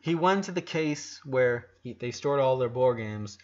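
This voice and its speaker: A man reads a story aloud in a mid-pitched voice, as a scripted narration. He trips over a word here and there. The recording is a little dull and lo-fi. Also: animated, a little slow. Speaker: narrator reading a fan fiction story aloud.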